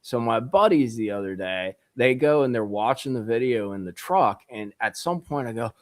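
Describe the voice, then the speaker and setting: Excitable slangy young-sounding fishing guy. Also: medium pitch, moderate pace, no echo, clean studio sound. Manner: excitable, loose and slangy, says bro and dude. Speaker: guest angler. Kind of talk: casual podcast chat.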